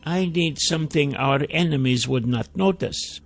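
A man voicing a weary, solemn fantasy sorcerer in an audiobook, earnest and deliberate, with a creak in the voice. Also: on the high side, soft background music, pitched above the performer's own voice. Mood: dry, measured. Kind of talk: fantasy audiobook character dialogue.

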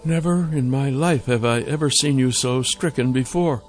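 A man's voice: medium pitch, moderate pace, faint music behind, voice pushed up, natural voice. A man voicing a tender, concerned adult woman, a wizard's wife, in a fantasy audiobook.

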